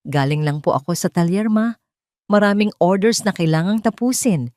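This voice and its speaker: A woman's voice: lowish voice, moderate pace, a little mellow, dry recording, clean studio sound, natural voice. A woman voicing a betrayed wife in an audio drama, wounded yet shrewd, with a controlled, guarded manner. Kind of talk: Tagalog audio drama dialogue.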